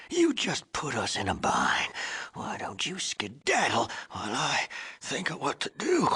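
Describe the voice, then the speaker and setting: Very raspy, cantankerous old ghoul. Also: male voice, fairly high pitch, pushed and bright, full character voice. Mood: grumpy, alarmed. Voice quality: raspy, gravelly, wheezy with a cough. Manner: folksy, crotchety drawl with colorful insults. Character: cantankerous, wisecracking old male ghoul, an irradiated undead survivor. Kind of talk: video game character acting.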